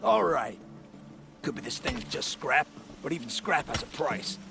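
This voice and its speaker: A man's voice: medium pitch, big pitch swings, unhurried. A man playing a pushy scavenger in a video game, haggling bluntly. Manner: blunt, bargaining. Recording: clear background noise.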